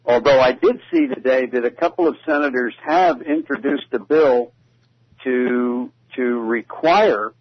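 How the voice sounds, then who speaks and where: A man discussing politics casually as a radio commentator, sometimes halting and repeating himself. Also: medium pitch, slightly rough, no room sound. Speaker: talk radio guest commentator. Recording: dull lo-fi radio audio.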